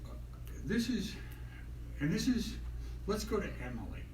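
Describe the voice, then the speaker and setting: A man speaks informally as a poet at a public reading, halting and folksy. The room is echoey and he is picked up from a distance, with clear background noise over the recording. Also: high voice, wildly swooping pitch. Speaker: poet speaking at his book launch. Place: indoor event room at a college.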